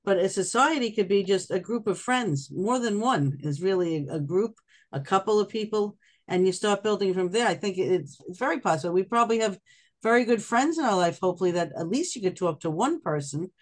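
A host speaking thoughtfully on a group video call, with pauses and restarts. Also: medium pitch, lively intonation. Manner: thoughtful, hesitant, many restarts. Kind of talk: casual group discussion.

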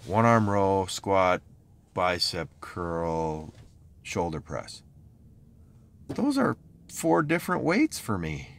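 A man's voice: sits low, sing-song, slow, creaky, long pauses, a little hiss or hum. A middle-aged man vlogging casually from his car and home, chatty and a self-described complainer. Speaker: fitness vlogger. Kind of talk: casual vlog talk. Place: parked car, then outside a truck, then home office.

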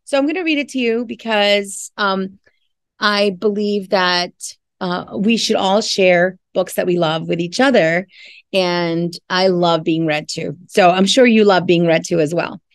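A woman's voice: medium pitch, unhurried, no room sound, clean studio sound. A middle-aged woman, a teacher reading a picture book aloud with chatty, friendly asides to young listeners. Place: indoors in a classroom.

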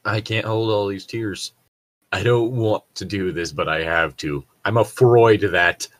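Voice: deep voice, measured pace, dry recording. A person chatting casually and reading fanfiction aloud for laughs, with a sweary, teasing manner. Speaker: co-host of a group fanfiction reading. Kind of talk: casual chat and comedic read-aloud.

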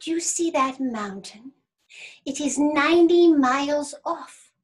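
A woman's voice: sits high, slow pace, pitched above the performer's own voice. A woman voicing a proud, cocky male rabbit in a storybook, declaiming in an emphatic, self-satisfied way. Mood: smug. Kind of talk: animated storybook reading.

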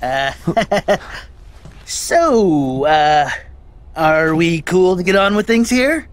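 An actor playing a lazy, cowardly young man in a video game, with a whiny drawl that jumps into sudden excitement. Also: a little high, drawn-out words. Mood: chummy.

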